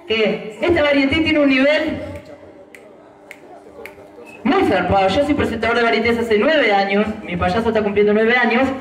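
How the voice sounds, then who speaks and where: A man's voice: extremely high pitch, fairly bright tone. A man with an Argentine accent hosting a variety show as a clown, with playful, campy banter with the crowd. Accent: Argentine Rioplatense Spanish. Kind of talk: stand-up banter with the audience. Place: outdoor patio stage at night. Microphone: handheld wireless mic, filmed from a distance. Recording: echoey, from a distant camera.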